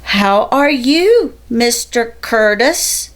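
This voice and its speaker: A woman's voice: on the high side, expressive, extremely slow. A woman voicing a polite, caring male seahorse in a children's storybook, courteous and encouraging. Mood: friendly, encouraging.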